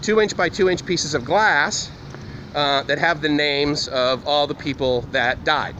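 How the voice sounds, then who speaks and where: A man's voice: on the high side, measured pace, bright, forceful tone. Rambling man vlogging outdoors, noisy background. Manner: rambling, digressive, frequently correcting himself. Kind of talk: casual walking vlog, talking to camera. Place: outdoors, walking through a university campus. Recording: noisy outdoor recording with wind.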